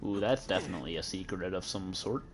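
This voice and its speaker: Giggly Midwestern American streamer. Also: male voice, low-pitched, fast talker, noisy background. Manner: playful, giggly, joking with chat. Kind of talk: casual gameplay commentary.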